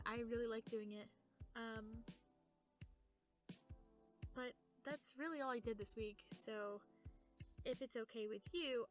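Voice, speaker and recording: High-voiced woman podcaster chatting casually. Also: fairly bright tone. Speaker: podcast co-host. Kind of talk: casual in-character chat, telling personal stories.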